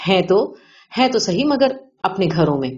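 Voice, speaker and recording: A woman's voice: medium pitch, very animated, a little mellow, natural voice. A woman reading the part of a reserved, wry male visitor in a story, with brief understated replies. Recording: dull lo-fi audio.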